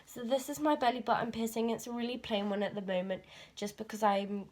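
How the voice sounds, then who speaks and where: A teenage girl, chatting casually to camera in a vlog and rambling with fillers, her voice a bit croaky from a cold. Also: on the high side, fairly even intonation, fairly quick, very noisy. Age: teens. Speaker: vlogger.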